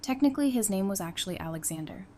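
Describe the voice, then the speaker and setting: A woman's voice: mid-range voice, lively intonation, fast, a little mellow, slight background noise, natural voice. A woman playing an affectionate, playful newlywed in an audio drama, speaking in a casual, teasing way. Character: affectionate, playful newlywed bride, practical and bright-minded.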